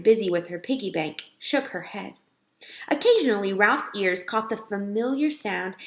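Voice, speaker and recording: Echoey woman reading a children's story. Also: medium pitch. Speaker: read-aloud narrator. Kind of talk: children's storybook read-aloud. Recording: roomy, echoing sound.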